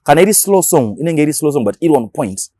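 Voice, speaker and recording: Very fast-talking Zimbabwean man. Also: a little high, sing-song, mellow, no room sound. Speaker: radio DJ, interview guest. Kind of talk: casual interview talk.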